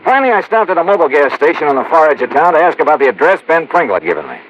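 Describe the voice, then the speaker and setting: A man playing a breezy, probing insurance investigator in an old American radio detective drama, crisp and wry. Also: a little high, very animated, rapid-fire, a bit bright. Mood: brisk, wry. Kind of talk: 1950s radio detective drama, dialogue and first-person narration. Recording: dull, noisy old radio recording.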